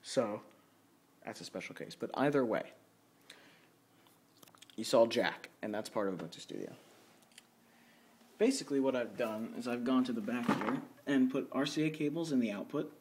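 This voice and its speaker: A man's voice: mid-range voice, normal speed, pauses often, a little mellow, slight background noise. A man giving an informal, off-the-cuff walkthrough of his home studio setup, talking casually and with some self-deprecation. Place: dim room at a home computer setup. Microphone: handheld camera or phone mic. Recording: music playing in the background.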